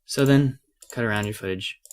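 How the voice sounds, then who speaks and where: A man with a fairly low voice, made a little raspy by a cold, presents an unscripted software lesson. He speaks casually and hesitantly, working things out aloud as he goes. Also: fairly quick. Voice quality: slightly raspy. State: has a cold. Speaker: tutorial presenter.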